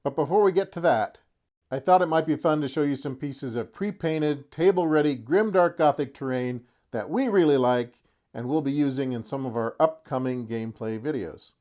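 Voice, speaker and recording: Middle-aged male host, mid-pitched voice, folksy and wry, casual showcase delivery. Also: moderate pace, warm tone. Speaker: host of a tabletop wargaming channel. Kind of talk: casual product showcase to camera.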